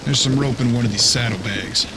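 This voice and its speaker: An actor playing a young, wry, plainspoken detective's apprentice in a western audio drama. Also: male voice, medium pitch, brisk, close to an everyday voice. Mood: brisk. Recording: very noisy rain and ambience.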